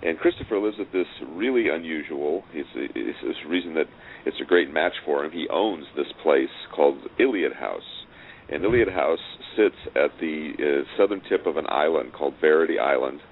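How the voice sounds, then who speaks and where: Very deep-voiced man on a tinny line. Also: expressive. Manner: halting, repeats words as he explains. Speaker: interview guest, audio drama writer and producer. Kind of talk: conversational interview, explaining a project. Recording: noisy, tinny phone-like call line.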